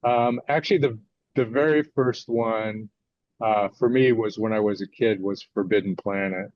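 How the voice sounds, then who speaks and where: An older American man answering interview questions as a guest author, conversational and a little halting as he thinks his answers through. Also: fairly low pitch, steady tone. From United States, California. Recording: dull lo-fi audio.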